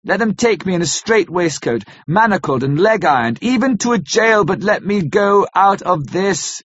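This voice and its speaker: A frantic male asylum inmate, as a man puts him on, pours out impassioned pleas in a husky, strained voice. The reading sits higher than a plain narration and leans into desperation. The recording is dry and slightly muffled. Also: expressive, moderate pace, fairly bright tone, no echo, heavily acted. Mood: desperate pleading. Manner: pleading, impassioned, imploring.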